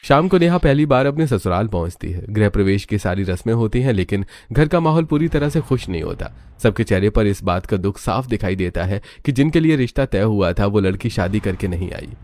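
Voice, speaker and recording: Low-voiced man narrating a dramatic story. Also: lively intonation, a little fast, few pauses, a little mellow.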